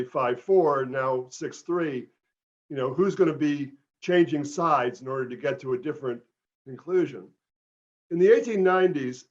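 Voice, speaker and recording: An older man, an author, explains a historical court case in a lecture-style library talk, pausing with fillers and correcting himself as he goes. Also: on the high side. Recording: dull lo-fi recording.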